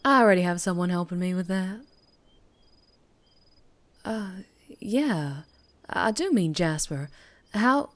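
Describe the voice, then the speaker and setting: Casting: woman to play a sultry Southern US female vampire biker for ASMR roleplay; low register, unhurried, teasing and guarded. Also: expressive, no room sound. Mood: guarded, probing. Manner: slow, low, teasing, lapsing into breathy whispers. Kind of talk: ASMR roleplay, scripted character acting.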